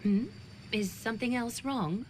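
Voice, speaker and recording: A woman voicing a composed, gracious leader in a game, speaking in a measured, formal and gently authoritative way. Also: on the high side, a little hiss or hum, natural voice. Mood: gently probing. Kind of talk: scripted game dialogue. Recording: clear music behind. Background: music.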